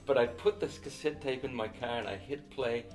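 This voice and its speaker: A middle-aged man from New Zealand with a New Zealand accent, a missionary and author telling his story in an interview, reflective and unhurried with small restarts. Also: mid-range voice, darkish tone, soft background music.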